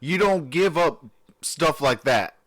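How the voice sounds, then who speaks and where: A man's voice: on the high side, takes it slowly, no echo, clean studio sound. Sleepy-voiced man streaming a game. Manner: excitable fan, cheers and chats with viewers. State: sleepy, just woke up. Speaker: live stream host watching a game. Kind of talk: casual live reaction chat.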